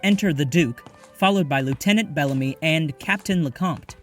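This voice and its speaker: A man's voice: a little high, normal speed, soft background music. A man reading stage directions as scripted narration for an audio drama.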